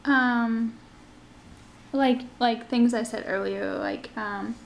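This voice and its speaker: Young woman interviewing, kinda high flat voice, slow n steady, echoey room. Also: vocal fry, slight background noise. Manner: encouraging, many mm-hmms. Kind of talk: casual interview questions.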